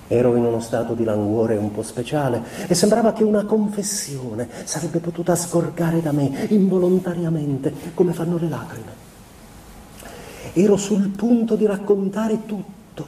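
An introspective young man weighed down by guilt played by a male stage actor confesses in a literary theatrical monologue. His voice is dark and mellow, his recitation measured and expressive. The sound is very roomy, with a light layer of background noise. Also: fairly high pitch, lightly acted.

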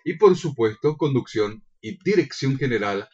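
A man's voice: mid-range voice, lively intonation, moderate pace, darkish tone, breathy edge, muffled recording. An Argentine man co-hosting a radio show, chatting and making announcements in a courteous, agreeable announcer's manner. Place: radio studio.

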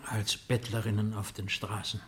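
An actor playing a composed, commanding ruler in a 1950s German radio play, with formal, measured, authoritative speech. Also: male voice, fairly low pitch, flat delivery, a bit bright, natural voice. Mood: worried, probing.

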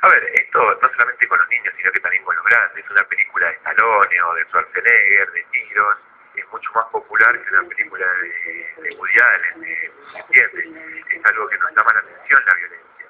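An Argentine man, a guest psychologist in a radio interview, explaining in a didactic but halting way with repeated words. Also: low-pitched, slightly rough. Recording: phone-like narrow radio line.

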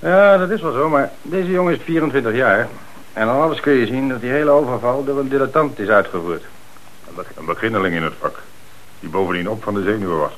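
A man acting a calm, confident police commissioner with measured authority in a Dutch radio play. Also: mid-range voice, natural voice. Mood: firm, questioning. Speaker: actor in a radio crime play. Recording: noisy, band-limited old radio recording.

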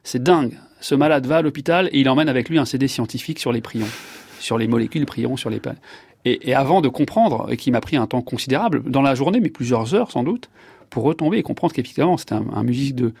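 A man's voice: medium pitch, animated, fast, creaky, darkish tone, slightly rough, dry recording. A man in his fifties, a neurologist guest, giving long reflective answers with many fillers and restarts. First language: French.

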